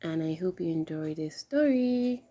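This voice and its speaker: A woman hosting a children's story time, reading aloud in an animated, expressive way. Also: lowish voice, a little slow, dull audio.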